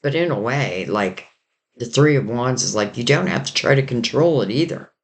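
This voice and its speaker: A man giving a rambling, casual tarot reading while losing his voice and sounding hoarse. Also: mid-range voice, expressive, normal speed. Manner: rambling, loose and intuitive, many "like" and "you know". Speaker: tarot reader.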